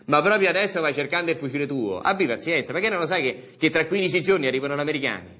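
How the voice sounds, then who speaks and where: A man's voice: on the high side, lively intonation, rapid-fire, sharp tone, a little hiss or hum, voice pushed up. A man voicing an impatient, exasperated partisan in a theatre monologue, colloquial and. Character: exasperated male partisans brushing off a fascist.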